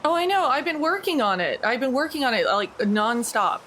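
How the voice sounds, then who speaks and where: A woman's voice: high voice, big pitch swings, brisk, a bit bright, a little hiss or hum. Hesitant woman improvising game dialogue. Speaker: tabletop game player. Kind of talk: improvised in-character dialogue in everyday voice.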